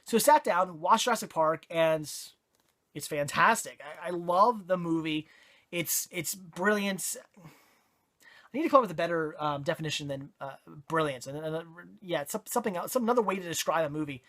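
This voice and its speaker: Hoarse, rambling movie reviewer. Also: medium pitch, moderate pace, a bit bright. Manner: rambling, self-correcting, many fillers. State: hoarse, voice giving out. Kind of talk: casual video review.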